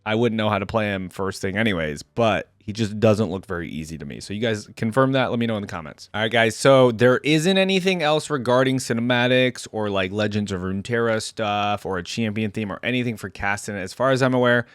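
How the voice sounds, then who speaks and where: A man with a fairly low voice, recorded close and dry with little room sound. He gives casual reaction commentary as a host, thinking aloud in an informal, conversational way. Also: animated, fairly quick, hardly any pauses, clean tone, no echo. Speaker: video game reaction host.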